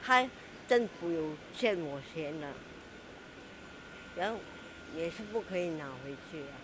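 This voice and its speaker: A woman's voice: lowish voice, animated, measured pace, low-quality recording. An older woman answering a street interviewer in a plain, matter-of-fact way. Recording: clear background noise outdoors.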